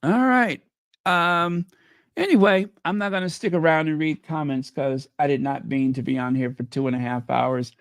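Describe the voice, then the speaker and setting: A man's voice: fairly high pitch, moderate pace, smooth, no room sound, studio quality. A man hosting a casual livestream chat, losing his voice and making sarcastic, mocking asides. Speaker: livestream host.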